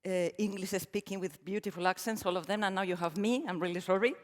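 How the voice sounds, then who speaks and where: A middle-aged woman with a Spanish accent presents a talk to a conference audience. Her speech is warm and self-deprecating, full of ums and restarts. The long reverb of a large hall trails behind her words. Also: mid-range voice, quick pace. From Spain. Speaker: conference speaker. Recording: reverberant hall, stage mic.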